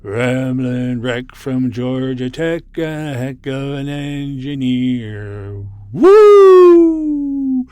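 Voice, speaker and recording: A man's voice: medium pitch, lively intonation, drawn-out words, darkish tone, clean tone, highs cut off. An actor playing a jolly, hard-drinking spaceship communications man in a folksy, chummy 1950s science fiction radio drama style. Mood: drunken, jolly. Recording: noisy vintage 1950s radio recording.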